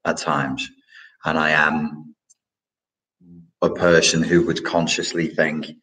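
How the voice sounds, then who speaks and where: A man's voice: a bass voice, level tone, takes it slowly, sharp tone, poor audio. A man hosting a casual live question-and-answer stream, talking in a rambling, reflective way with many fillers and restarts. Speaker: livestream host answering chat questions.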